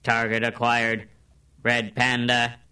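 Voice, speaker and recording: A menacing mechanical walking bomb from a radio drama. It announces in a very slow, clipped monotone. The voice is crisp and hard, heard from a distance. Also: mid-range voice. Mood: cold, mechanical. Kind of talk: radio serial acting.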